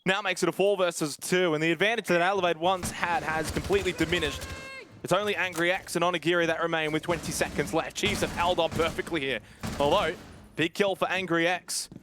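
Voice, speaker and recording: A man's voice: on the high side, fairly even intonation, brisk, background noise. A man giving live play-by-play and analysis of a competitive shooter game in a fluent, analytical way. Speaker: esports commentator. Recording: music behind the voice. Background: music.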